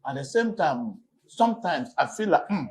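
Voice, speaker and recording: A man hosting a casual on-location chat in a small restaurant, lively and promotional. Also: fairly high pitch, moderate pace. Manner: lively and promotional, urging viewers to visit. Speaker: channel host.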